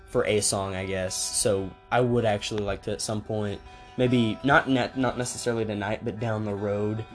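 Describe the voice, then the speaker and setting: Young guy hosting a chill podcast, kinda low voice, lots of ums and restarts, guitar strumming in the background. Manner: rambling, many fillers and restarts. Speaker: podcast host. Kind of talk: casual chat among friends. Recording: guitar playing behind the talk.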